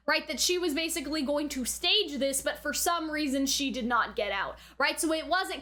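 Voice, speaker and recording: A woman's voice: high-pitched, bright, forceful tone. A woman hosting a reaction video, giving casual, speculative commentary in a chatty, informal way that often checks in with tag questions.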